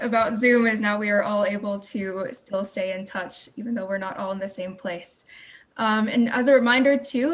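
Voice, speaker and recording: A woman's voice: mid-range voice, a little hiss or hum. Woman moderating an online panel. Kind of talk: hosting an online panel discussion. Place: video call.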